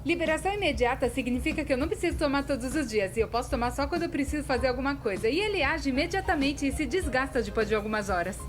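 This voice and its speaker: A high-pitched Brazilian female cartoon storyteller, acted by a dub actress, chatty and self-deprecating. She narrates expressively, with big swings in pitch. Music plays clearly behind her. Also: very fast, hardly any pauses. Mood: chatty, candid. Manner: expressive, conversational. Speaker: voice actor in a fan dub. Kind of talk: scripted animated-story narration.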